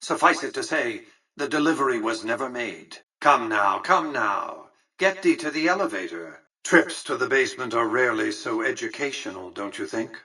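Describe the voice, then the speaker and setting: An actor playing a calculating, imperious casino tycoon in a video game, speaking formally and precisely with condescension. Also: male voice, medium pitch, lively intonation, moderate pace, pushed and bright, breathy edge, far from the mic, heavily acted. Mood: cool, measured, then impatient, condescending. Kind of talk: scripted video game dialogue.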